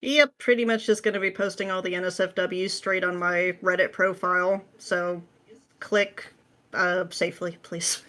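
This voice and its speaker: A woman's voice: on the low side, fairly even intonation, moderate pace, fairly bright tone. A woman answering questions as a guest in a casual interview, informal and dryly self-deprecating.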